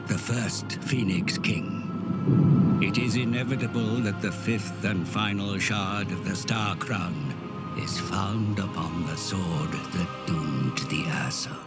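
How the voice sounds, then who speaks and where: Game cutscene narrator: grave, theatrical male chronicler, low-pitched, under loud music. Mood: solemn, grave. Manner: portentous, measured, theatrical. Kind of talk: solemn dramatic scripted narration of fantasy game cutscenes. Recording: loud orchestral music behind. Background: loud cinematic game music.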